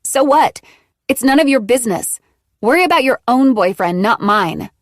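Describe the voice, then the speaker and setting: A woman's voice: high voice, expressive, moderate pace, fairly bright tone, no echo, studio quality, pitched above the performer's own voice. A woman reading an audiobook, voicing a frightened, defensive fifteen-year-old girl who pleads with her friend. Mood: defiant. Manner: emotional, shaky pleading.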